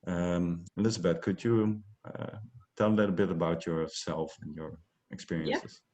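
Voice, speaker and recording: A man hosting an interview, speaking gently and hesitantly with many fillers; Dutch is his first language. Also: sits low, steady tone, normal speed, highs cut off. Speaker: interviewer, host. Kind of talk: interview host.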